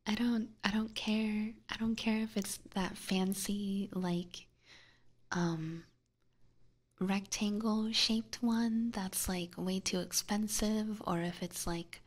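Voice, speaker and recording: Casting: female ASMR streamer, soft whispery and breathy, unhurried informal delivery, close to the ear mic. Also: mid-range voice, fairly even intonation. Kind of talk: ASMR, whispered casual chat with viewers. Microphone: close binaural ear microphone.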